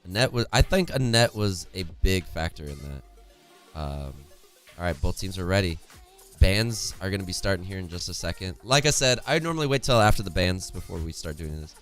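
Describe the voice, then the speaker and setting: A young man with a worn-out, hoarse voice casting an esports match on a home stream, chatty and reading chat aloud, close to a studio mic. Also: low voice, expressive, moderate pace, fairly bright tone, soft background music. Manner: chatty, reads chat aloud, laughs often. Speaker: esports caster. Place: home streaming room, indoors. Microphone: studio condenser mic close in front, headphones.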